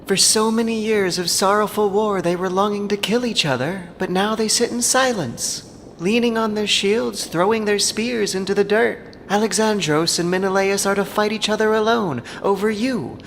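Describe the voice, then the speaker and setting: A man's voice: high-pitched, moderate pace, fairly bright tone, pitched above the performer's own voice. A man voicing an urgent, solemn goddess disguised as a young princess in an audio drama. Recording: long reverberant hall, faint ambient noise.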